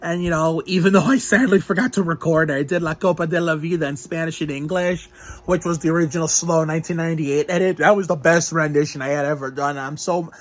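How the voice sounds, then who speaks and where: A young man with a slightly higher-than-average voice rambles through an update about getting over a cold. He still has a lingering cough. He stumbles, restarts his sentences and leans on fillers. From Northeast Ohio. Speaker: vlogger. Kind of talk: rambling health update vlog.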